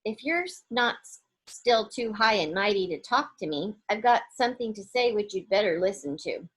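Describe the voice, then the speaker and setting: A woman's voice: mid-range voice, animated, moderate pace, breathy edge. Woman as a sulky, suspicious storybook boy.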